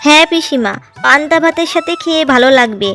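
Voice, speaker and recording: A woman's voice: sits high, lively intonation, a little fast, pushed and bright, soft background music, exaggerated voice. A woman voicing a caring young farmer's wife in a cartoon story, tender, patient and earnest.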